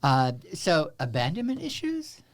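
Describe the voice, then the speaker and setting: A man in his seventies from Central Texas, a guest telling his life story in an interview, halting with many fillers, with a wry humor. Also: a little high, huge pitch swings.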